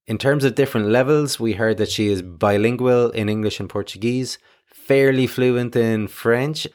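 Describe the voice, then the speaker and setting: A man hosting an English lesson, clearly explaining vocabulary and phrasal verbs with light personal asides. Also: lowish voice. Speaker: English teacher and host.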